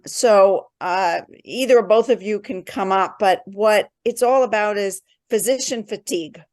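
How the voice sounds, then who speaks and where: A woman moderating an online panel discussion over a video call, brisk and upbeat as she moves the talk along. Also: mid-range voice, dry recording.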